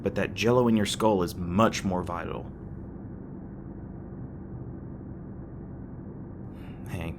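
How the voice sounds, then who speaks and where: A man's voice: lowish voice, lightly acted. A man playing a teasing, crass but caring demon boyfriend in a conversational audio roleplay, talking casually with some hesitation. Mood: gentle, reassuring. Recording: clearly noisy background with ambient sound effects.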